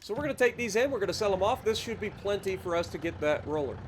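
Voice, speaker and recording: Man, stuffy nose, nasal, slightly high voice, relaxed gameplay commentary, noise behind. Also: fast. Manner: easygoing, thinking aloud with fillers. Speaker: gaming YouTuber. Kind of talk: casual let's-play commentary.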